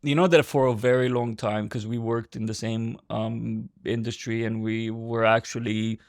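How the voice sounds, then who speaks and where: A man's voice: medium pitch, little pitch movement, normal speed, dry recording, clean studio sound. A man in his thirties hosting a podcast interview, chatty and friendly, laughing often and asking open questions. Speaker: podcast host. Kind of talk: casual interview chat.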